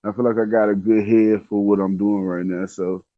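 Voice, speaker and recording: Young dude in his 20s, lowish voice, rambly slangy storytelling, kinda muffled dry recording. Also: level tone, moderate pace, no echo. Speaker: guest telling personal stories. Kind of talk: casual chat.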